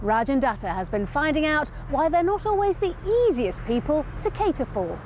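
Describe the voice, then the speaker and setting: A woman presenting a travel show, reading a bright, lilting script. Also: sits high, very animated, moderate pace, background noise.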